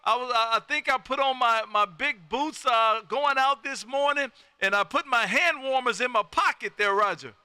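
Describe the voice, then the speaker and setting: A man's voice: very high-pitched, moderate pace, few pauses, bright, forceful tone, a little hiss or hum. A folksy, exuberant man, chatting casually as a ham radio host who peppers his talk with "man". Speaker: amateur radio operator and live stream host.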